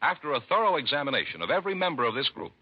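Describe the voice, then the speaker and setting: A man's voice: a little high, big pitch swings, very fast, slightly rough. A man as a 1950s radio commercial announcer, formal and emphatic in his salesmanship. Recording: dull vintage radio recording.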